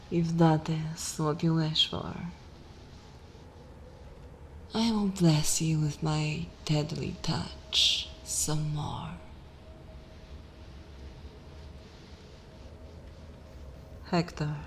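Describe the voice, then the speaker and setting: Woman playing a slow, whispering vampire mistress. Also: low voice, slight background noise, full character voice. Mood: amused, commanding. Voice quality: breathy, mostly whispered. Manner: slow, deliberate, hushed with long pauses. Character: dominant, condescending, seductive female vampire mistress. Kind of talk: ASMR roleplay, intimate whispering.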